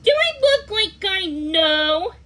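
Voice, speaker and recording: Storybook mean girl of twelve , woman reader: high voice, grumpy, boastful, curt. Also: sing-song, slow pace, bright, forceful tone, slight background noise, exaggerated voice. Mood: snappy. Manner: snappy, drawling, dismissive.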